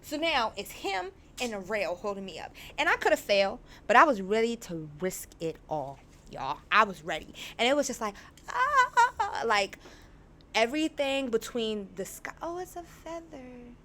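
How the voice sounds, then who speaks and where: A young woman in her twenties hosting a podcast, talking casually and frankly, her voice a little raspy as it recovers. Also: fairly high pitch, unhurried. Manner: chatty, frank, animated storytelling. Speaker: podcast host. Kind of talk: casual candid monologue.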